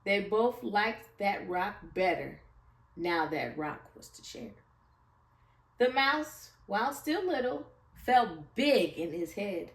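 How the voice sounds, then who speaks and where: A woman's voice: medium pitch, lively intonation, slow pace. A woman storyteller reading a rhyming children's picture book aloud with expression. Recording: echoey room, far from the mic.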